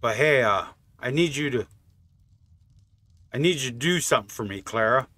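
A man's voice: medium pitch, animated, moderate pace, sharp tone. A man giving casual, offhand gameplay commentary, thinking aloud as a channel host. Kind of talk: casual game mod test commentary.

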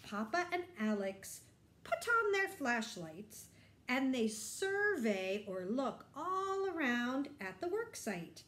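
Animated woman reading aloud, roomy sound. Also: sits high, slow pace. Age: middle-aged. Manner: animated, explanatory, addressing young listeners. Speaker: teacher reading a picture book aloud to children. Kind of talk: children's read-aloud with simple questions and explanations. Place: bright indoor room.

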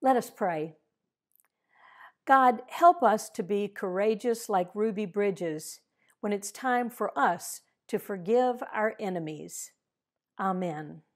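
An older woman reading a picture book aloud and closing with a prayer, gently voicing the dialogue, recorded over a video call at home. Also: medium pitch. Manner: slow, gentle storytelling with voiced dialogue. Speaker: storybook reader. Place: home living room with fireplace.

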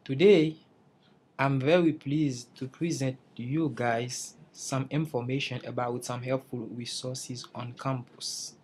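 A man's voice: medium pitch, fairly even intonation, slow, a little mellow, a little hiss or hum. A young man from Haiti, an English learner, giving a careful class presentation over slides and restarting phrases as he searches for words. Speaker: English language student presenting.

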